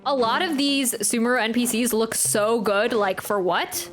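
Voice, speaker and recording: A woman's voice: a little high, normal speed, fairly bright tone, soft background music. A woman giving casual gameplay commentary, chatty and rambling, often interrupting herself mid-sentence. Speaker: streamer reacting to a video game.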